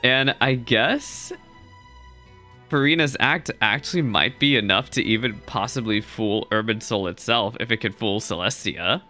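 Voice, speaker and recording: A man's voice: fairly low pitch, unhurried, pushed and bright, faint music behind. A young man hosting a gaming reaction video with informal, rambling commentary.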